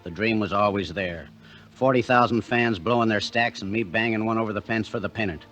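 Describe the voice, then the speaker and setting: Low-voiced weary young ballplayer played by a man. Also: fairly quick, fairly bright tone, soft background music, close to an everyday voice. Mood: brooding, wistful. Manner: plain, slangy, ungrammatical working-class talk. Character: weary young minor-league catcher telling his own story. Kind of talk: 1950s radio drama narration and acting.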